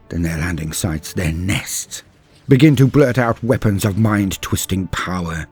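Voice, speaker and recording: A man playing a grave, embittered space-marine veteran, solemnly narrating a dramatic war story in a husky voice with deliberate, heavy pauses. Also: low voice, heavily acted. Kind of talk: dramatic scripted first-person story narration.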